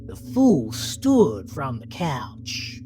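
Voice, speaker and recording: Very low-voiced woman narrating horror, theatrical. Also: huge pitch swings, very slow. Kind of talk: dramatic scripted horror narration. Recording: music bed under the narration.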